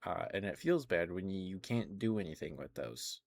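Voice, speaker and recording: A man chatting casually to viewers on an ASMR livestream, rambling with hesitant pauses. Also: sits low, very animated, vocal fry, slight background noise.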